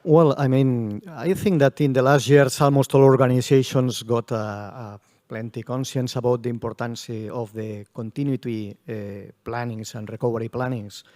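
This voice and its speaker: A man with a mellow, dark voice answers on a conference panel. He speaks in halting stretches, pausing on "uh" as he searches for words, and his phrasing sounds non-native. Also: mid-range voice, fairly even intonation. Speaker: security panelist.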